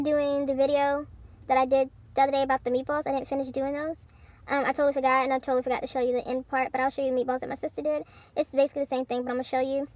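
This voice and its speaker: A woman's voice: sits high, no echo. Chatty young woman vlogging from a car. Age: young adult. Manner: chatty, rambling, many fillers and restarts. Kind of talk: casual vlog chat. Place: parked car. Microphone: phone camera held close.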